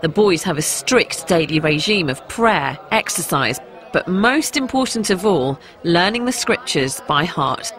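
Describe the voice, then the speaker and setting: Female documentary presenter, English accent, slightly low voice, music underneath. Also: lively intonation, unhurried. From England. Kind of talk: personal documentary narration and pieces to camera.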